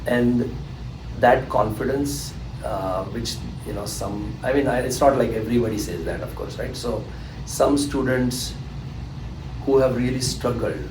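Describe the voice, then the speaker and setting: A man's voice: mid-range voice, unhurried, background noise. Indian man in an echoey room. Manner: rambling, hesitant with fillers and restarts. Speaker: SAT tutor, guest. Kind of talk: anecdotal storytelling in an interview. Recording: echoey room, distant mic, humming air conditioning.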